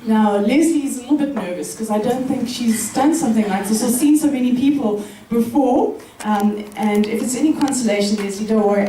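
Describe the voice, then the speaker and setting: A woman hosting an informal live interview in front of an audience, with a loud, chatty way of speaking. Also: a little high, roomy sound, recorded from a distance, a little hiss or hum, poor audio. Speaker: event host interviewer.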